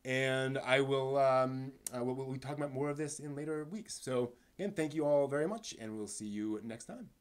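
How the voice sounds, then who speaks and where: A man hosting a casual live stream, speaking in a halting, rambling way and stumbling over his words, sounding somewhat tired. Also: medium pitch. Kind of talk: casual live stream chat, answering chat questions.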